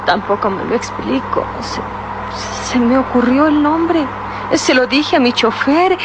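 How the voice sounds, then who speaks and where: A flustered young heiress. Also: female voice, sits high, lively intonation, unhurried. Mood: worried. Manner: flustered, defensive. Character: frightened young rich heiress, nervous and indignant. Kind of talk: 1960s radio drama acting. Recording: noisy old radio drama recording.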